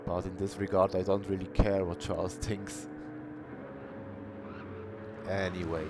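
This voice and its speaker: A man commentating an online sim racing qualifying session in a plain, unhurried way. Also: low-pitched. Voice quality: calls himself monotonous. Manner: unhurried, plain-spoken, bursts of cheering. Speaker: race commentator. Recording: noisy stream audio.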